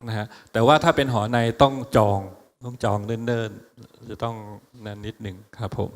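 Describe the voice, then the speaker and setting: A man chatting casually with an audience in a university lecture hall, explaining things and fielding questions with relaxed fillers and restarts. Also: medium pitch, very fast. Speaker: lecture moderator and interpreter. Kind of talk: casual Thai and English Q&A talk.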